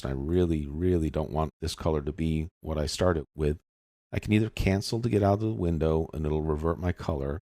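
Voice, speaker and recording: A man narrating a software tutorial as an instructor, explaining things casually and step by step. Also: sits low, gravelly, dry recording, studio quality. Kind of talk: tutorial narration over screen demonstration.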